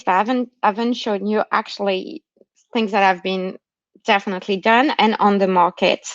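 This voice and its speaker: A woman's voice: medium pitch, no echo. A woman researcher with a non-Australian accent giving an explanatory academic talk online, often checking understanding with short tags. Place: remote online talk during lockdown. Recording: muffled lo-fi online call audio.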